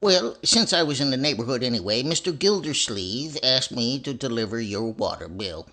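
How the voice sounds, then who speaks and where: Male actor as mild henpecked husband, bright sharp tone, mid pitch, polite, hesitant, radio comedy. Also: expressive, moderate pace. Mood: polite, chatty. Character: meek henpecked husband, mild, secretly spiteful. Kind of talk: old-time radio comedy acting.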